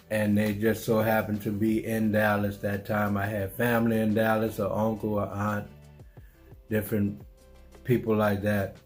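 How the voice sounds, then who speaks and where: A middle-aged man from New Orleans with a New Orleans accent, telling his life story in an unhurried, musing way, often repeating himself and restarting. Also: lowish voice. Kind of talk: reflective personal storytelling.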